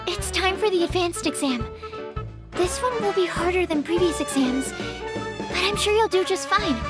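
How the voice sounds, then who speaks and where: A woman playing a gentle, earnest young alchemist's assistant in an anime game, kindly and reassuring. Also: extremely high pitch. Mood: friendly. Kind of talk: anime game character acting. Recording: music under the voice.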